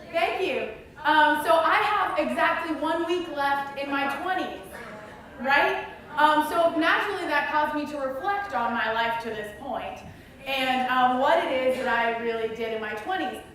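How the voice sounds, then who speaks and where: A woman's voice: high voice, slight background noise. A woman in her late twenties, a comedy theater manager, talking on stage in a rambling way with many ums. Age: late 20s. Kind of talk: stand-up intro before a song. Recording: echoey hall, from far off.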